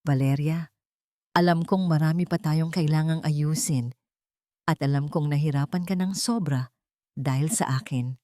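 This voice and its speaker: A woman's voice: low-pitched, a little slow, long pauses, mellow, dry recording. A woman voicing a remorseful, sincere billionaire suitor in an audiobook love story. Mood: remorseful, hopeful.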